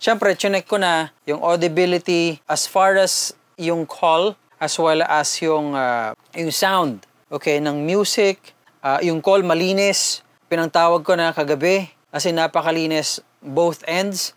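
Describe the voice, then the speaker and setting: A man's voice: fairly high pitch, unhurried, clear, resonant tone, no echo. A man with a Filipino accent doing a casual, conversational unboxing and product review, mixing two languages as he talks informally to viewers.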